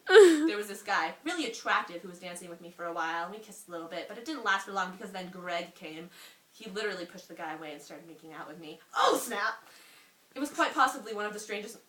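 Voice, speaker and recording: Expressive young woman reading aloud, echoey room. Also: mid-range voice, a little fast. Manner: giggly, expressive, breaks off for asides. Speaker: reader. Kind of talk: amused read-aloud of a story to a friend. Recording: roomy, distant mic with faint noise.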